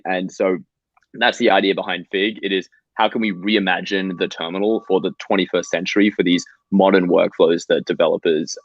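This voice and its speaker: Man, 20s, founder guest; deep voice, muffled dry call sound, brisk and animated. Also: dry recording. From Australia. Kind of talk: explaining software on a video call. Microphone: video call microphone. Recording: slightly dull, dry call audio.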